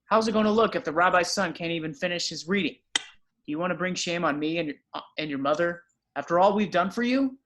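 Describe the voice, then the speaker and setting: A man's voice: high voice, fast talker, fairly bright tone. A man playing a stern rabbi who lectures his son, at an amateur table read. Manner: scolding, lecturing. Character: stern middle-aged male rabbi father.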